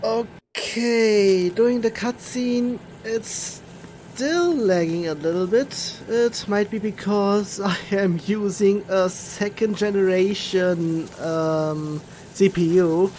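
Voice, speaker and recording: A woman streams a video game with casual commentary. She speaks very slowly and haltingly at a middling pitch, thinking aloud over faint background noise. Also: darkish tone. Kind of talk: casual live playthrough commentary.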